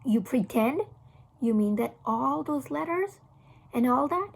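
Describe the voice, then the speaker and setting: A woman's voice: sits high, lively intonation, unhurried, creaky, husky, exaggerated voice. A woman voicing a patient, practical schoolboy older brother in a children's storybook, casual and questioning. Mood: puzzled.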